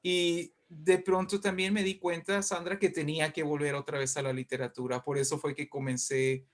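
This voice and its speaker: A man talking casually as an invited guest in an interview, talkative and halting, often ending phrases with short tag questions. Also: on the high side, flat delivery. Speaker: invited guest, writer and poet.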